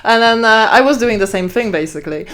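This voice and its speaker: A woman's voice: a little high, lively intonation, quick pace, fairly bright tone. Young polish woman on a podcast, accent kinda british kinda american, lots of ums and laughs. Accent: Polish with British and American touches. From Poland. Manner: chatty, laughs often, many fillers. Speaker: podcast guest. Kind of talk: casual interview chat.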